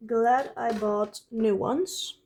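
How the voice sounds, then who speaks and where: A woman's voice: fairly high pitch, fairly even intonation, extremely slow, darkish tone, a little hiss or hum. A woman from the Netherlands with a Dutch accent, chatting informally in English as a non-native speaker while narrating a craft vlog. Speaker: craft vlogger. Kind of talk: casual narration while journaling.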